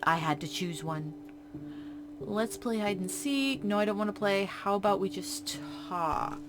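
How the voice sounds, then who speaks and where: A woman playing a horror visual novel, reading its text in a hush and breaking off into casual reactions. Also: mid-range voice, a little slow, over music. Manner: hushed reading broken by chatty reactions. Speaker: game streamer. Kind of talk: reading a game's text aloud with casual commentary.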